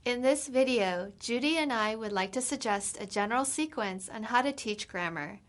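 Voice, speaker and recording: Woman instructor, slightly high voice. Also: lively intonation, normal speed. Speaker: English instructor. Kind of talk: scripted lesson presentation.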